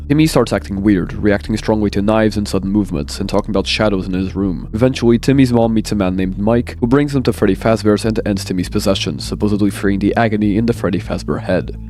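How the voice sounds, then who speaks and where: A man's voice: lowish voice, dark tone. A man narrating a scripted, explanatory game theory segment as a guest video creator. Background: background music.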